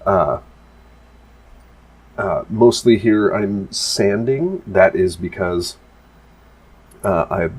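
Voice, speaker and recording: Man, deep and slightly husky, congested and nasal; loose rambling voice-over for a maker video. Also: expressive, drawn-out words, long pauses, darkish tone, poor audio. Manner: rambling, full of restarts and fillers. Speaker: maker explaining his prop build. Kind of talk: casual voice-over narration of a build video.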